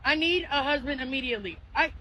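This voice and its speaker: A woman's voice: high voice, expressive, quick pace, sharp tone, slight background noise, poor audio. A woman casually telling what happened to her, flustered and incredulous. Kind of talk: casual personal storytelling.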